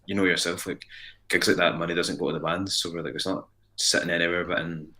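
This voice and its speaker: Young Scottish male musician, low bright voice, rambling casual guest chat. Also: steady tone. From Scotland. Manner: rambling, halting, repeats words.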